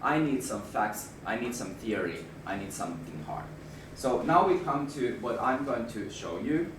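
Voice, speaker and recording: Hoarse Finnish man presenting in a roomy hall. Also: mid-range voice, expressive. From Finland. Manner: halting, restarts words, then rises in emphasis. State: hoarse with a flu. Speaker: management consultant presenter. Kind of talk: presentation to an audience. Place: room with an audience. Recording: roomy, distant mic with background noise.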